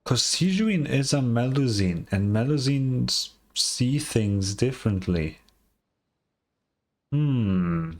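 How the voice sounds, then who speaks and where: A woman's voice: very deep voice, lively intonation, slow pace, a little mellow. A woman casually reacting to a video game as a streamer, sounding tired and hesitant, muttering her comments.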